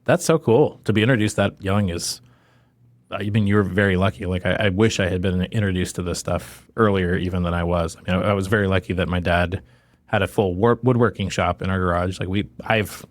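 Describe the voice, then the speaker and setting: A man's voice: low voice, steady tone, a little fast, dry recording. A man hosting a podcast, chatting casually and enthusiastically, often breaking off to rephrase as he goes. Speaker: podcast host. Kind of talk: casual chat, interviewing.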